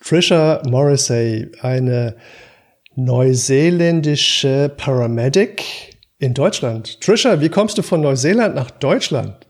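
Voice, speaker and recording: Creaky-voiced male podcast host. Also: medium pitch, lively intonation, slow. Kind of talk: casual podcast interview.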